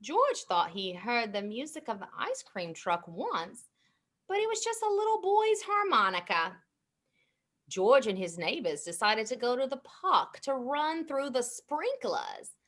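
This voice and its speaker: A woman storyteller reads a bedtime story to children. Her voice sits fairly high and moves through wide, lively swings of pitch. She takes her time, giving each phrase expression at a slow pace. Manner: expressive, animated delivery. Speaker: storyteller reading aloud.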